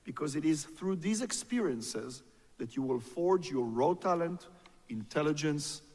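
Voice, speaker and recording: A man's voice: fairly high pitch, animated, unhurried, darkish tone. Middle-aged man speaking in a reverberant hall. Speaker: ceremony host introducing speakers. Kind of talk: scripted ceremonial speech. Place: large auditorium stage at a podium. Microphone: podium microphone. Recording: echoey auditorium with long reverb.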